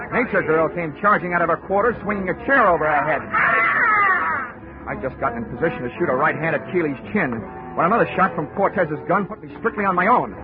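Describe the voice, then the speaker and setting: A man's voice: a little high, close to an everyday voice. An actor playing a wisecracking male insurance investigator who narrates his own case in a dry, hardboiled tone, in 1940s radio acting. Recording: tinny 1940s radio broadcast with music.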